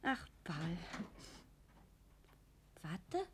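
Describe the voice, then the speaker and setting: An actress playing an anxious, tender young daughter who is easily shocked, in a German radio play. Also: female voice, lowish voice, big pitch swings, very slow, a bit bright, a little hiss or hum, full character voice. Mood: soft, worried. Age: young adult.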